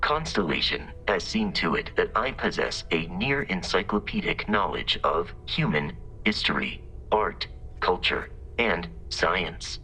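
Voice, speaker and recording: An actor playing a polite, literal-minded exploration robot in a video game, speaking in formal, precise, measured deadpan. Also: male voice, sits low, slight background noise, full character voice, rough recording. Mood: deadpan, courteous. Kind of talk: scripted video game character dialogue.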